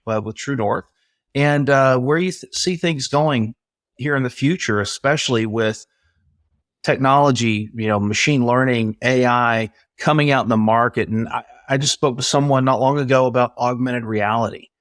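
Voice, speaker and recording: A man's voice: mid-range voice, normal speed, a bit bright, no echo. Rambling male interview host, dry sound. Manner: rambling, conversational questions. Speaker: podcast host. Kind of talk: casual interview.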